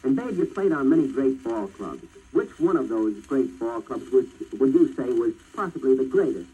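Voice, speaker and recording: An American man poses questions as a 1940s radio sports interviewer. His voice comes through very husky and unclean. The old disc recording crackles and sizzles throughout. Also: mid-range voice, expressive, moderate pace, mellow.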